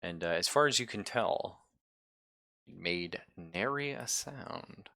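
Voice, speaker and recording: Low creaky-voiced male game master. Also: animated, sharp tone, dry recording, studio quality. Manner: halting, thinking aloud. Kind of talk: improvised tabletop game narration.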